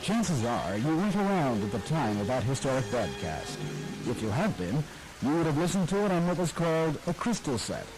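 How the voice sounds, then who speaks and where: A man's voice: mid-range voice, very animated, moderate pace, music in the background, low-quality recording. A man hosting a program on radio history, giving a scripted, nostalgic, storytelling narration.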